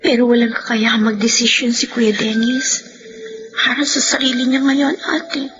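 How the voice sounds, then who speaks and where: Isang babaeng gumaganap sa dramang panradyo bilang maalalahanin at mapagtanggol na nakababatang kapatid, taimtim at madamdamin magsalita. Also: female voice, a little high, flat delivery, moderate pace, background noise, natural voice. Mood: concerned. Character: concerned, protective, loyal younger sister. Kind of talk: Filipino radio drama acting. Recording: dull lo-fi radio drama sound. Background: prominent dramatic music.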